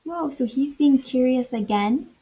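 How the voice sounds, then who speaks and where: A woman teacher doing an animated read-aloud for young children, pausing to ask questions and explain in simple, repetitive wording. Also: high-pitched, slightly rough, dull audio.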